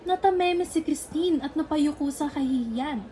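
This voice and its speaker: A woman's voice: high voice, normal speed, crowd noise. A young woman reading scripted narration for a student-style radio drama.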